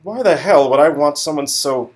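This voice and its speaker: A man's voice: fairly high pitch, expressive, moderate pace, a little mellow, close to an everyday voice. A man playing an arrogant, impatient talent agency boss in a comic dialogue reading, brusque and sneering. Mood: scornful, impatient.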